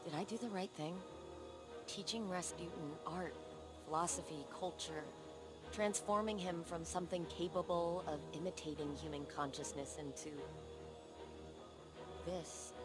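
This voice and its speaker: An actress playing an engineer in a video game, reflective and full of self-doubt. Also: female voice, lowish voice, measured pace, long pauses, close to an everyday voice. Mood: troubled. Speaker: engineer who rebuilt the AI. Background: loud game music.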